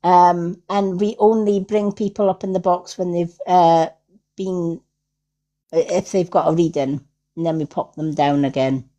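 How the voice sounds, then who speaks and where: A Scottish woman hosts a casual livestream as a medium. She speaks slowly in a soft-edged, mellow voice. Her manner is affirming and repetitive, with frequent little check-ins. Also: lowish voice, steady tone. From Scotland. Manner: affirming, repeats herself, tags with "right?". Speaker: psychic medium hosting a livestream. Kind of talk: casual livestream spiritual reading.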